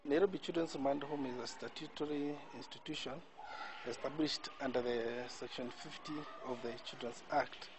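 A man's voice: medium pitch, steady tone, normal speed, background noise. A middle-aged man in a suit, an official answering interview questions outdoors in a formal, listing way. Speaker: institution official. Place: outdoors by a building.

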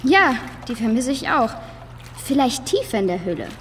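A calm, agreeable girl acted by a woman in a children's radio play. Her voice is fairly high. Long reverb and clear background noise surround it. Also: moderate pace. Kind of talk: children's audio drama acting.

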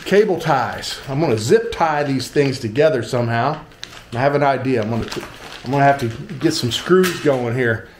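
Man, fifties, American accent, folksy, thinking out loud, casual DIY chat, roomy echo, some background noise. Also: medium pitch, moderate pace. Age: 50s. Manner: folksy, joking, thinking aloud. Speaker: vlog host. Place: small tiled living room indoors. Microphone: handheld action camera, varying distance. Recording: echoey room, clear background noise.

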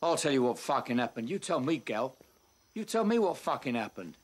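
An actor playing a volatile, foul-mouthed gangster who swears and threatens aggressively. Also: male voice, mid-range voice, big pitch swings, fairly quick. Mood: furious.

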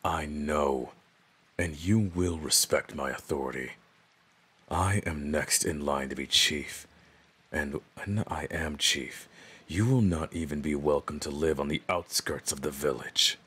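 A man's voice: very deep voice, a little slow, a bit bright, husky, exaggerated voice. A man playing an arrogant, domineering orc who would be chief, speaking with pompous menace in a roleplay audio drama. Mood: haughty, threatening.

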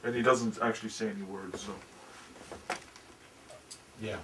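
A man's voice: fairly low pitch, steady tone, a little slow, far from the mic, background noise. Dry-witted Irish man, heard from afar. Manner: dry, wry, joking. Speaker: director and producer. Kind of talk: casual chat on set. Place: living room of a large house.

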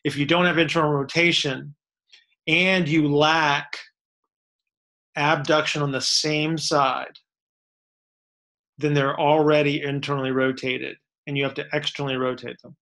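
Bright, forceful coach voice on a webcam call, repeats words, keeps asking "make sense?". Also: male voice, a little high, level tone, unhurried, long pauses. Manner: explains step by step, repeats words, checks for understanding. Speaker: strength and fitness coach answering questions. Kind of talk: casual expert Q&A explanation. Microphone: webcam on a video call.

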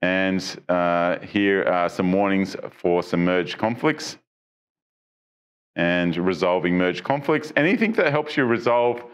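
A middle-aged man presenting tech news on a studio stage, talking casually through software features, asking viewers questions, with frequent ums and restarts. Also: low voice, slow, smooth. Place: studio stage with dark backdrop.

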